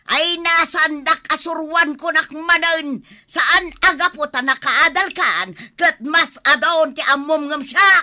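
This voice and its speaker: A woman's voice: high-pitched, a little slow, hardly any pauses, bright, forceful tone, heavily acted. An actress playing a proud, quarrelsome older mother in a radio drama, indignant and scolding. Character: proud, quarrelsome, stubborn older mother who refuses to apologize. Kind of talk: Filipino radio drama acting.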